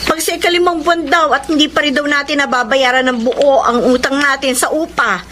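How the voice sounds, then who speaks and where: An actress playing a worried, patient young wife struggling with poverty in a radio drama. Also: female voice, sits high, fairly even intonation, brisk, pushed and bright, a little hiss or hum.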